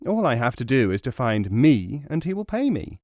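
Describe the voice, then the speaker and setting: A man's voice: mid-range voice, very animated, normal speed, darkish tone, dry recording, clean studio sound. A man voicing a foolish, naive young man in a folk tale, earnest and pleased with his own cunning. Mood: naive, puzzled. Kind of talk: storytelling in a narrated folk tale.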